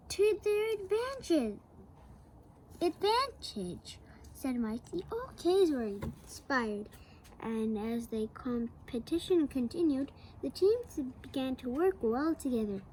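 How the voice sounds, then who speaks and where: A woman reading a children's picture book aloud, slowly and with playful asides to the young listener. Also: high-pitched, a little mellow, slight background noise, low-quality recording. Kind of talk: read-aloud storytelling for kids.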